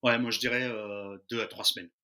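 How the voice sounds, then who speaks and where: Very bright-voiced man from France. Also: lowish voice, steady tone, brisk. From Lyon region, France. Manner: easygoing, hesitant with frequent fillers. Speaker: interview guest, expatriate in Japan. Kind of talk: casual conversation.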